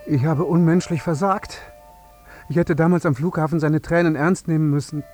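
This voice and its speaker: An actor playing a grieving, vengeful father in a radio drama, speaking in a deliberate, accusing and repetitive way. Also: a little high, fairly even intonation, a little mellow, faint music behind, natural voice. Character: grieving, vengeful father confronting an air traffic controller.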